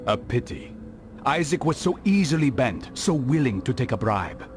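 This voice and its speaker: A man voicing a stern, hooded assassin master in a video game, speaking in a measured, sardonic way. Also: medium pitch, sing-song, slight background noise, lightly acted. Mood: measured, probing. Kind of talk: scripted game cutscene acting.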